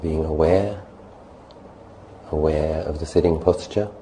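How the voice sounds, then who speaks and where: A man leading a guided meditation as a teacher, speaking calmly and unhurriedly in short phrases with long pauses. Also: a bass voice, rough recording. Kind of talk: guided meditation, spoken instruction. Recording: faint background noise and ambience.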